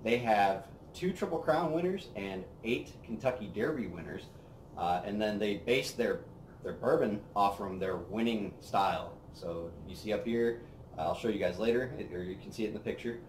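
A young man hosting a whiskey review, chatting casually on an outdoor patio, relaxed and rambling with plenty of fillers. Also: fairly low pitch, normal speed, slight background noise. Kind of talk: casual tasting chat. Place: outdoor patio by a brick wall. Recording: roomy outdoor sound with faint ambience.

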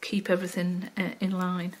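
A woman's voice: on the low side, completely flat delivery, moderate pace, noisy background. An older Welsh-born woman with a Wirral accent, mixing Welsh and Liverpool sounds, chatting through a craft tutorial at her table, full of ums and asides to herself. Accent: Wirral, mix of Welsh and Liverpool. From Wales. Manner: chatty, many ums, talks to herself while working. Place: home craft table, indoors. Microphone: overhead camera, hands only.

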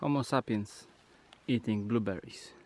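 A man's voice: lowish voice, a little slow, darkish tone. A young man with a Polish accent narrating a casual vlog from behind a handheld camera, with dry, playful remarks. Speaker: vlogger filming a hiking trip. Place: outdoors on trails and inside wooden huts. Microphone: handheld camera, speaker behind it. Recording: noisy handheld camera with ambient sound.

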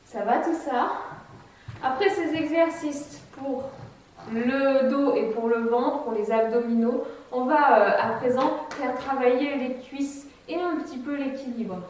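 High-voiced woman coaching in an echoey room. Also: a little slow, a little hiss or hum. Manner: calm, step-by-step cueing while demonstrating. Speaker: fitness instructor. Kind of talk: guided chair exercise class. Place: bright empty room with wooden floor. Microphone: camera mic from a distance. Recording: echoey room, voice far from mic.

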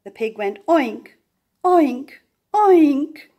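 An older woman reading a children's picture book aloud, with expressive emphasis and playful animal sounds. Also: high-pitched, extremely slow. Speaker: storyteller. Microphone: camera above the book on a table.

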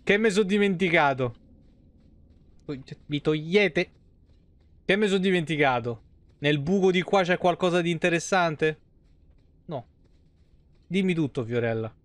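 A male streamer chats casually over a game. His voice is on the high side for a man and sounds dry and close, with an informal manner. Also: lively intonation, measured pace, long pauses, no echo. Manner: informal, chatty, swears. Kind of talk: casual live gameplay commentary. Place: small indoor room.

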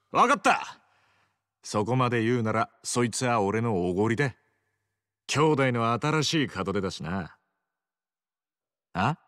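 Cocky young yakuza, lively and low. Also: male voice, dry recording, studio quality, full character voice. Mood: amused, warm. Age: young adult. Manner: rough, slangy, teasing. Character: cocky, teasing, loyal young male yakuza, sworn brother. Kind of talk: Japanese video game voice acting.

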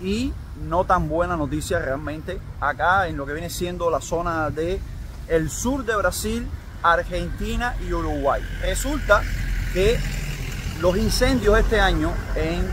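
Cuban man vlogging over street noise. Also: sits high. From Cuba. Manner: chatty, addresses viewers as family. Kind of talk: casual explanatory vlog.

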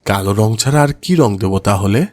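A man's voice: fairly low pitch, lively intonation, normal speed, darkish tone, no echo, clean studio sound, lightly acted. A man voicing a kindly, good-humoured old grandfather in a cartoon moral story. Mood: chatty.

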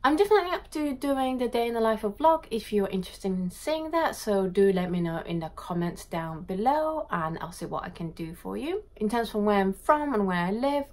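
A woman's voice: mid-range voice, very animated, fairly quick, few pauses, darkish tone. A woman in her thirties, born in Japan, answering viewer questions casually to camera in her home art studio, reading each question aloud before a candid reply. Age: 30s. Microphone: camera in front at desk distance.

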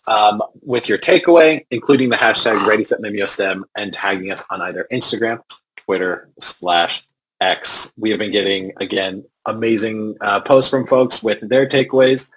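A man's voice: lowish voice, normal speed, fairly bright tone, slightly rough, poor audio. A man hosting an online event, wrapping up with effusive thanks and announcements over a video call. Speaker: event host. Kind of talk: wrap-up announcements.

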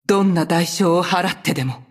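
A woman voicing a proud, vengeful sorceress from a video game, speaking in a cold, haughty, deliberate manner. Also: lowish voice, breathy edge, soft background music. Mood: cold, scornful. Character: proud, vengeful sorceress who betrayed her cursed clan. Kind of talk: video game character voice lines in Japanese.

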